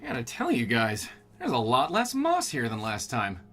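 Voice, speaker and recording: An actor playing an arrogant, impatient, demanding boss in an audio drama, sarcastic and quick to interrupt. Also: male voice, medium pitch, wildly swooping pitch, pushed and bright, soft background music, exaggerated voice. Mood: demanding, cold.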